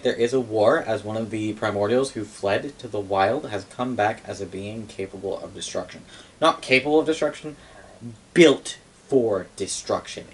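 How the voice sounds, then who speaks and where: Young guy rambling through a fantasy lore story, lowish voice, wry and sweary, living room with background noise. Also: rough recording. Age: young adult. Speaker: storyteller recounting game lore. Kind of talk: casual improvised storytelling. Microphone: camera mic a few metres away.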